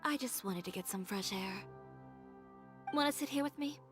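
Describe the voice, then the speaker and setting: A woman's voice: medium pitch, animated, normal speed, music in the background, lightly acted. A woman playing a composed, proud and guarded female dressmaker in a video game.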